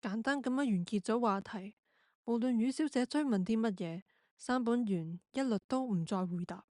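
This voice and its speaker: A woman's voice: on the high side, moderate pace, darkish tone, dry recording, clean studio sound. A woman narrating a scripted radio drama.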